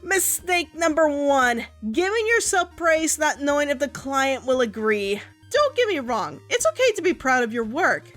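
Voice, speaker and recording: A woman's voice: sits high, big pitch swings, normal speed, hardly any pauses, fairly bright tone, soft background music. A woman co-reviewer giving commentary that mixes scripted points with banter.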